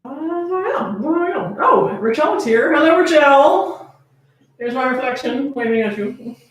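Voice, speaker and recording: A woman's voice: sits high, expressive, measured pace. A woman hosting a livestream in casual banter, playfully sarcastic and sweary. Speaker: livestream host. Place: echoey room, away from the mic. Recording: echoey room, distant mic, noisy.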